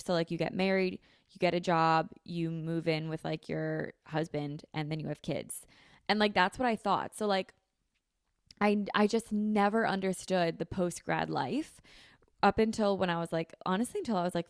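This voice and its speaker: A woman's voice: fairly low pitch, vocal fry, clean tone, no room sound, studio quality. A woman in her twenties hosting a casual solo podcast from her home kitchen, talking in a chatty, rambling way full of "like" and "um" into a handheld mic. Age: 20s. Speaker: podcast host. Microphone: handheld dynamic mic held close.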